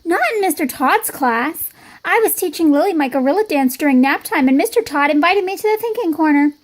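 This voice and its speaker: A woman's voice: high-pitched, animated, a little fast. A woman voicing a stubborn, proud little girl who talks emphatically, in a children's storybook. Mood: complaining.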